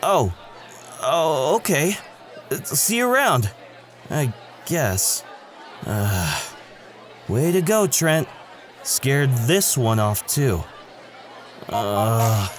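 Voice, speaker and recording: A man's voice: mid-range voice, sing-song, drawn-out words, long pauses, some chatter behind, a little hiss or hum. A man playing an eager, awkward male student in a casual, chatty audio drama style. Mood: awkward, dejected. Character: excitable, awkward male student, lovelorn new kid.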